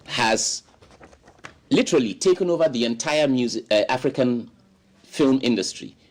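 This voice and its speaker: A man talks as an interview guest, spinning long expansive stories. His pitch moves around a lot and his delivery is animated. He leans on "you know" as he builds each point. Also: moderate pace. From Ghana. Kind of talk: studio interview.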